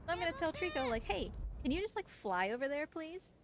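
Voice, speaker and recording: A woman's voice: sits high, very animated, fairly quick, noisy background. A woman giving chatty, playful, casual commentary on her own livestreamed speedrun. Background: game music.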